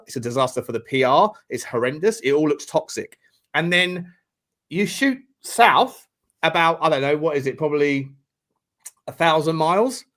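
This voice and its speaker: High-voiced man explaining football drama. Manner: animated, explains at length, says "mate" and "right". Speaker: podcast co-host. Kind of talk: casual football chat.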